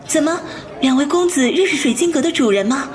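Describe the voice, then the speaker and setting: A woman playing a gentle, mysterious young wife at a food stall in a Chinese audio drama, soft-spoken and courteous. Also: high voice, expressive, a little fast. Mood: courteous, pleading.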